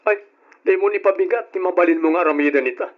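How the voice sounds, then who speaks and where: Casting: defensive, scolding Ilocano family man for old radio drama; higher-pitched voice, dull recording. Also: fast. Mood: scolding, impatient. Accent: Filipino, Ilocano. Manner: scolding, insistent. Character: bossy, defensive husband and father, easily irritated.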